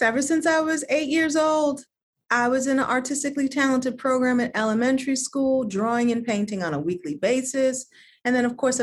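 Interview guest: woman designer from Connecticut, earnest and reflective, repeats words mid-thought. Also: fairly high pitch. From United States (Connecticut).

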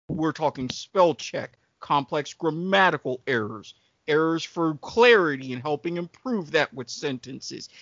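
A male podcast co-host with a very lively, swinging pitch. He talks in emphatic, wordy stretches like an easygoing salesman. The sound is dry and somewhat muffled. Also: no echo. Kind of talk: casual banter and ad-libbed sponsor read.

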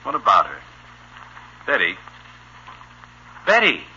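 A man's voice: fairly low pitch, huge pitch swings, slow pace, sharp tone, husky, full character voice. An actor playing an exasperated, impatient middle-aged American family father in a 1950s radio sitcom, grumbling through his lines. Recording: dull old radio recording.

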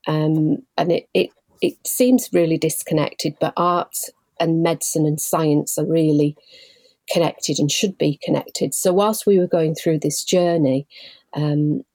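A woman in her forties from Leeds, a guest telling her story in a measured, thoughtful way with frequent pauses. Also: low-pitched, steady tone, darkish tone, no echo. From Leeds, England. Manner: measured and thoughtful, pausing often. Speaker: guest, patient involvement manager. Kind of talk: reflective personal storytelling.

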